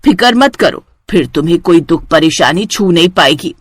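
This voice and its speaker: A woman's voice: on the low side, lively intonation, normal speed, breathy edge, no echo, pitched below the performer's own voice. A woman voicing a cold-hearted, greedy village aunt who talks in a sly, calculating way, in a cartoon. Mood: cold, sinister. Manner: sly, calculating, falsely concerned. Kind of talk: Hindi cartoon villain acting.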